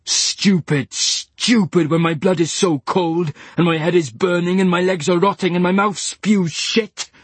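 A man's voice: fairly high pitch, normal speed, slightly rough, dry recording. A man doing a snarling, bitter patient.

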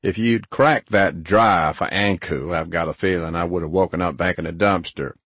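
A man's voice: sits low, normal speed, fairly bright tone, slightly rough, no echo. A man voicing a middle-aged, jaded male antihero in an audiobook, trading sardonic, profane banter. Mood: jaded, wary.

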